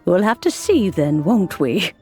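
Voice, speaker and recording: An actress voicing a kindly, wise elderly woman mentor in a video game, speaking in a measured, fond, grandmotherly way. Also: lowish voice, sing-song, a little mellow, soft background music. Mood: warm, wise. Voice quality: creaky. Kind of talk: scripted video game dialogue.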